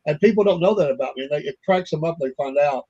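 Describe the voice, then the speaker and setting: A man's voice: fairly high pitch, quick pace, mellow, husky, dull audio. An older man hosting a podcast, chatting in a folksy, rambling way full of fillers. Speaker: podcast host. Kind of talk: casual interview chat.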